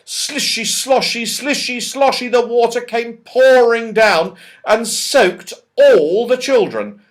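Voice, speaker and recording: Slow, lively male storybook reader. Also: very high-pitched. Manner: lively, expressive reading with character voices. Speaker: audiobook reader. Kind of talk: children's storybook narration.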